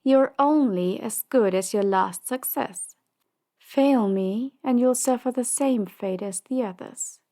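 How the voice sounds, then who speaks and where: A woman playing a commanding, power-hungry crime boss in an audio drama, measured and condescending, with polite menace. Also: a little high, dark tone. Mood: stern, commanding. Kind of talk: audio drama roleplay acting.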